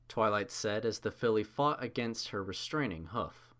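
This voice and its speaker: A man's voice: lowish voice, lively intonation, moderate pace, a bit bright. A man reading a story aloud as narrator, in a scripted storybook style.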